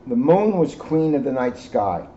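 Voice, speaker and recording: A middle-aged American man giving an informal educational talk in a deliberate, emphatic way, phrase by phrase. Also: medium pitch, sing-song, dark tone. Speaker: police officer reading to children. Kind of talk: informal educational talk and picture-book reading. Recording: muffled audio with clear background noise.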